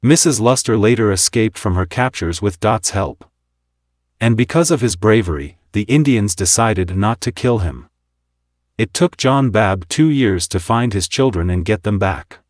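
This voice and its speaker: Male history narrator, lowish register, scripted delivery, close dry sound with no room echo. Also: lively intonation, moderate pace.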